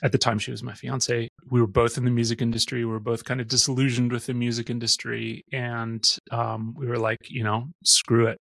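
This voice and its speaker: A man in his forties with a gravelly edge to his voice, guesting on a podcast. He rambles and jokes at his own expense, recorded dry and close. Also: mid-range voice, level tone, brisk, hardly any pauses, dry recording. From born in Austria, raised in Missouri. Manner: rambling, self-deprecating, laughs often. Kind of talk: casual interview chat.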